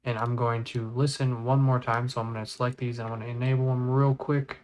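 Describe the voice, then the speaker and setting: Flat-toned man giving a casual tutorial. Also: medium pitch, a little fast. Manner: informal, thinking aloud while working. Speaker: tutorial creator. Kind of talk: casual step-by-step software tutorial. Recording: clear background noise, mouse clicks.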